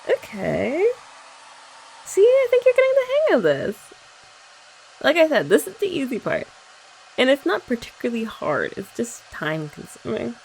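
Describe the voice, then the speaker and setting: A young woman in a sweet, chatty girlfriend roleplay for ASMR, talking softly and often laughing gently. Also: high-pitched, huge pitch swings, normal speed, gravelly, pauses often, slight background noise, rough recording.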